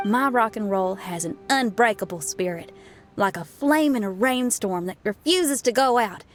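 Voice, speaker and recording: A spirited rock musician. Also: female voice, on the high side. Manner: confident, spirited, cheeky. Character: bold young woman rock musician. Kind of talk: game cutscene dialogue.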